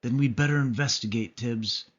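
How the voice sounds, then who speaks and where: A man voicing a gruff, dismissive sheepdog colonel in a storybook, with a blustery military manner. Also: mid-range voice, moderate pace, dull audio, natural voice.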